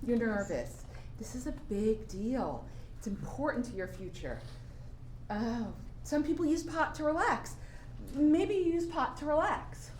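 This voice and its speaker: A woman's voice: on the high side, lively intonation, measured pace, a little mellow. Staged play, roomy sound with some noise: actress as practical, fretful, insistent mother pushing her son, scolding. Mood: worried, insistent. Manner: insistent, chiding. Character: anxious, nagging, practical mother of a college-bound son. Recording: roomy live recording with background noise.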